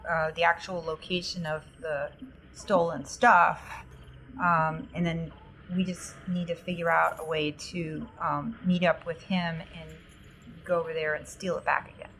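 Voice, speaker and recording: A woman's voice: deep voice, flat delivery, measured pace, fairly bright tone, a little hiss or hum, poor audio. A woman playing a tabletop roleplaying game, talking through the group's plans in a casual way.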